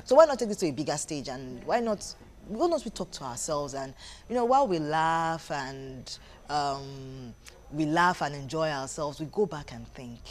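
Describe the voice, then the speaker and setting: A woman's voice: low voice, lively intonation, moderate pace. A woman with a Nigerian accent talking as an interview guest, conversational and emphatic, repeating phrases for stress. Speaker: interview guest, playwright and stage director. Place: TV breakfast show studio.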